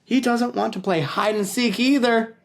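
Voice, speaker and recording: A man's voice: extremely high pitch, steady tone, moderate pace, gravelly, voice pushed up. A man voicing a curious, pushy young boy in a children's story, eager and insistent with his questions. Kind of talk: children's storybook read-aloud.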